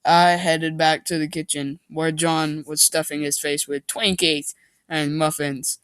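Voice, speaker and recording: Man, unhurried, reading amateur fiction badly on purpose. Goofy, mocking, quick to break off and comment. Dry sound. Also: a little high, flat delivery, a bit bright, clear, resonant tone, no echo. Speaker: reader and commentator. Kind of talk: mock dramatic reading of amateur fiction with joking asides.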